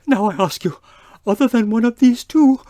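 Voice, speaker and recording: Woman as indignant shop owner, radio mystery, agitated, exclaiming, mellow tone, dry close sound. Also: a little high, expressive, moderate pace, no echo, lightly acted. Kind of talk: old-time radio mystery acting.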